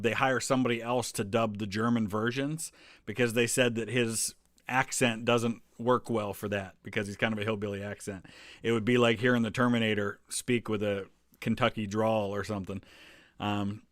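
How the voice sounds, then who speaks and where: A man's voice: mid-range voice, fairly bright tone, no room sound. A man talking casually to a live chat audience, rambling from one point to the next with plenty of ums and restarts. His voice sits in a middle register and is recorded close and dry, with no room sound. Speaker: history channel host answering live chat. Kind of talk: casual live stream chat over travel photos.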